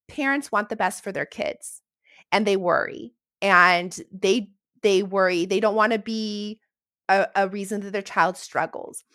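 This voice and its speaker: A woman's voice: medium pitch, fairly bright tone, dry recording, clean studio sound. A woman speaking as an educator guest in an interview, giving encouraging, reassuring advice in a conversational way.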